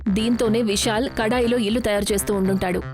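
A woman's voice: mid-range voice, fairly even intonation, quick pace, music in the background, natural voice. A woman voicing a greedy, scheming landlady and shopkeeper in a moral story, with a demanding, indignant manner.

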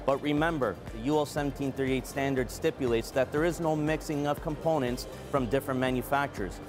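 A man in his forties, a product manager, giving a measured, earnest scripted product presentation at a trade show booth on a lapel mic. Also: mid-range voice, fairly even intonation. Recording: clean voice over background music.

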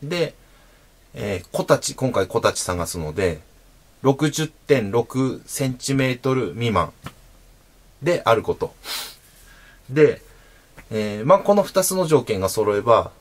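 A man in his thirties hosting his own channel, explaining sword types patiently to camera from a small room, with frequent fillers and pauses as he demonstrates. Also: medium pitch, expressive. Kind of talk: casual explanatory talk to camera. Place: small indoor room at home.

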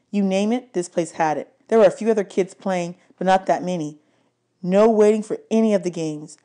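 A woman's voice: fairly low pitch, warm tone. A woman narrating a novel aloud as an audiobook reader. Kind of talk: read-aloud story narration.

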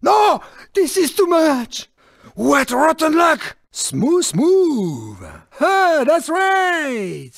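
A sneaky, irritable little French car from a video game. The voice is high and gravelly, with a French accent and unhurried pacing. Delivery is haughty, exclamatory and theatrical. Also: male voice, sing-song, fairly bright tone, no room sound, studio quality, heavily acted. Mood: alarmed. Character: small, irritable, boastful, sneaky French male cartoon car.

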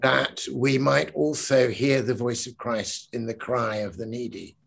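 A man's voice: mid-range voice, fairly even intonation, unhurried, vocal fry. An older man, a poet, talking earnestly with many restarts and then reading his own poem aloud with swelling emphasis, on a video call from a living room at home.

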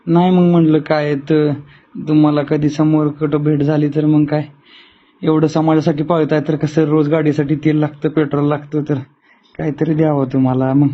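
Male caller, highish and fairly flat voice, probing and confrontational, dull phone audio. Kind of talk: phone conversation. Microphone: phone call. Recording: muffled lo-fi recorded phone call.

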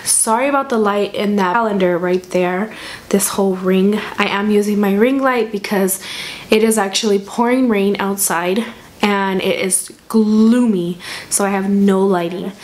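A young woman talks casually to a camera in a small room at home, her voice a little hoarse and deep from a cold. She rambles in a friendly, chatty way, full of ums and quick restarts, at an ordinary middle pitch. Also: steady tone, a little mellow. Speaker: vlogger. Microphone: camera in front at desk distance.

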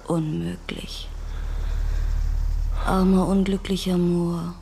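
A seductive, possessive lover in a radio play. Her voice is mellow and a bit low, soft and intimate, coaxing over faint ambient noise. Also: flat delivery, takes it slowly, gravelly. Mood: pitying, seductive. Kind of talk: German radio drama acting.

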